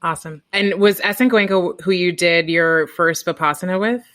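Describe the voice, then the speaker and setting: A woman's voice: fairly low pitch, normal speed, fairly bright tone, no room sound, clean studio sound. A woman co-hosting a podcast interview in a casual, conversational style. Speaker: podcast co-host.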